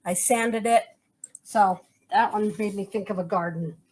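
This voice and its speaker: A woman's voice: mid-range voice, a little slow, a little mellow, slight background noise. A woman co-hosting a casual quilt shop video, chatting and rambling as she hunts for words while showing her craft projects. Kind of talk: casual show-and-tell chat.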